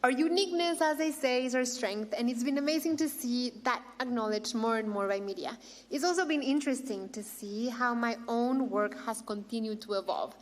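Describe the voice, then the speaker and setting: A woman's voice: a little high, normal speed. A middle-aged woman with a Mexican accent, hosting an awards ceremony with a prepared speech and playful asides. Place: large hall. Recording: long reverb of a big hall.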